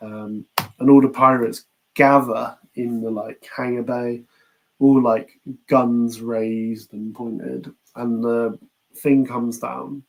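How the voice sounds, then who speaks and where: Man, British accent, podcast co-host, casual chatty recap, mid-pitched voice, quotes dialogue in passing. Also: steady tone, takes it slowly, low-quality recording. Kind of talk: casual chat recapping a TV series.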